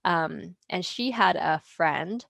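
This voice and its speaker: A woman's voice: fairly low pitch, slow, vocal fry, a bit bright, dry recording. A young woman, originally from Taiwan, chatting as an interview guest about her work as a speech language pathologist, with lots of ums and likes. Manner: chatty, many ums and likes, light laughs. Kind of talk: casual interview conversation.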